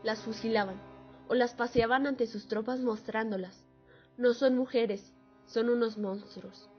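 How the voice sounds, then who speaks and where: A woman's voice: a little high, fairly even intonation, measured pace, natural voice. Solemn woman war veteran played by an actress, muffled. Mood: grim testimony. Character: female war veteran, solemnly testifying to atrocities. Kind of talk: dramatic radio monologue. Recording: muffled lo-fi audio under music.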